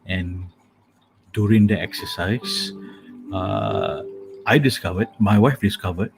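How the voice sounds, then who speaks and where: A man with a calming, soothing voice hosts a casual bedtime talk. He speaks in a low register and very slowly, pausing often on soft fillers. Gentle music plays clearly behind him. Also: rough recording. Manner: soft-spoken, hesitant with many fillers. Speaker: host. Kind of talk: casual spoken sleep meditation talk.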